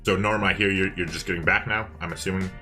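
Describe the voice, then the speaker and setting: A man with a very low yet bright, forward voice co-casts a game livestream. He talks casually to his chat, thinking out loud as he goes, with music playing behind him. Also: fairly even intonation, fast talker. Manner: chatty, thinking aloud to viewers and chat. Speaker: co-host of a livestreamed multiplayer strategy game. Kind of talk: casual live stream co-casting.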